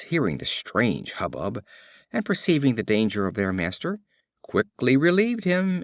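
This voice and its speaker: Casual: guy reading old fables out loud, voice goes up and down a lot, super dry close sound. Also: mid-range voice, no echo. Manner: expressive, measured reading. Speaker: audiobook narrator. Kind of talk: scripted storybook narration.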